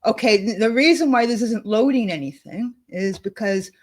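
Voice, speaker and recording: A woman co-hosting a casual chat, thinking aloud as she clicks through software at her desk, with frequent laughs. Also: a little high, normal speed. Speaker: co-host demonstrating software. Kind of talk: casual screen-share chat.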